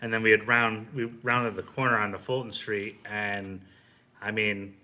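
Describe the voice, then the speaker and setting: A man with a strikingly bright, hard-edged voice speaks casually. He is a fire officer telling stories of calls he has run. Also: on the low side, little pitch movement, normal speed. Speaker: fire officer being interviewed. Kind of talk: casual storytelling.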